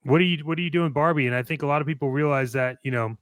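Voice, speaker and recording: A man's voice: fairly high pitch, very fast, a bit bright, clean tone, no echo, studio quality. A man co-hosting a casual talk show about toy collecting, speaking in a blunt, opinionated way.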